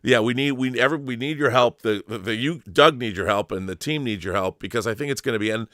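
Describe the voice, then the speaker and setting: A man's voice: mid-range voice, quick pace, a bit bright, dry recording, clean studio sound. A man chatting casually and enthusiastically as an interviewer, earnest and emphatic, often repeating words as he restarts his sentences.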